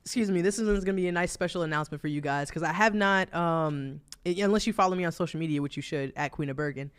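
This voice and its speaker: High-voiced, smooth man with a New Jersey accent. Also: fast. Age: 30s. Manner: relaxed, rambling, with frequent fillers and laughs. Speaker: podcast co-host. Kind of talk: casual chat.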